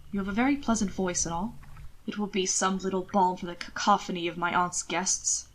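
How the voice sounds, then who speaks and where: A woman voicing a teasing, amused aristocratic gentleman in dry, formal period dialogue. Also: medium pitch, fairly even intonation, a little fast, close to an everyday voice, poor audio. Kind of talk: fiction read aloud, character dialogue.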